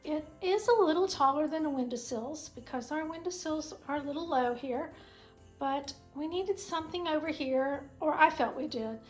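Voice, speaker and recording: A middle-aged woman with a voice made raspy by a sinus cold, chatting fondly and rambling as she shows her collection in her home kitchen. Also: high-pitched, moderate pace, faint music behind. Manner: chatty, fond, meandering. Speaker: vlog host. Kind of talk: casual home tour chat.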